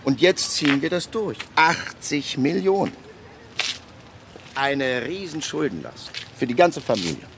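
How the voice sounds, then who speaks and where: An actor playing a government official in a radio play, telling a case emphatically with dramatic pauses and acted-out dialogue. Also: male voice, mid-range voice, very animated, vocal fry, noisy background. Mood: wry, resigned. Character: animated male government official recounting a land restitution case. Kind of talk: conversational monologue in a radio play.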